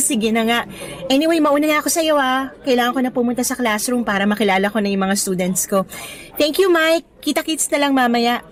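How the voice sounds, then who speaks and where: A woman's voice: fairly high pitch, brisk, close to an everyday voice. A woman acting as a cheerful young new teacher in a radio drama, chatty and appreciative with a hopeful, slightly nervous temper. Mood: hopeful, friendly. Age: young adult. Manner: bright-spirited, chatty, appreciative.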